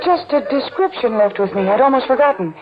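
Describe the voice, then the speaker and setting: An actress playing a young woman selling masks at a costume ball, chatty and brisk, in 1940s radio acting style. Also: a little high, lively intonation, natural voice. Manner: chatty, brisk, matter-of-fact. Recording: muffled old radio with music.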